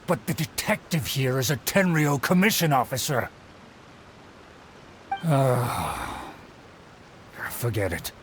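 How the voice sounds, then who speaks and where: An actor playing a bereaved, weary older radish farmer in a video game, arguing and pleading. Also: male voice, medium pitch, a bit bright, a little hiss or hum. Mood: pleading, resigned. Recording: music behind. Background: clear music.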